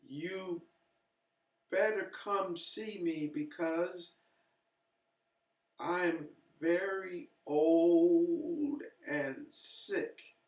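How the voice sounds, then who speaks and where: A man voicing a frail, sick old mother in a storybook, with halting, quavering, drawn-out delivery. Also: high voice, pitched above the performer's own voice. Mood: frail, tearful. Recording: echoey room, distant from mic.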